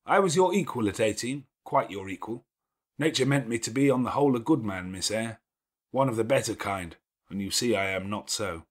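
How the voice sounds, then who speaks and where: Imperious, brooding master of the house played by a male narrator: low-pitched, emphatic, self-reproaching, classic-novel dialogue. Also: lively intonation, fairly quick. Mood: brooding, confessional. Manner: emphatic, commanding, declamatory.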